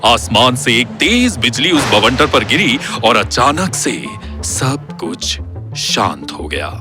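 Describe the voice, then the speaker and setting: A man narrating a scripted horror story in a dramatic, suspenseful and expressive storytelling style. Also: medium pitch, takes it slowly. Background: background music.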